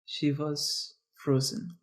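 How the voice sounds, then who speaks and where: An author reading their own story aloud in a slow, halting way, often stopping for chatty asides. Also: mid-range voice, completely flat delivery.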